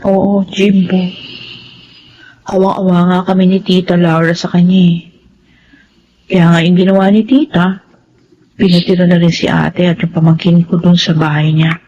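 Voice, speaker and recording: A woman's voice: on the low side, flat delivery, normal speed, pauses often, mellow, natural voice. An actress playing a sincere, cautious young woman in a radio drama. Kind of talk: Filipino radio drama acting and narration. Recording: slightly muffled, faintly noisy radio recording.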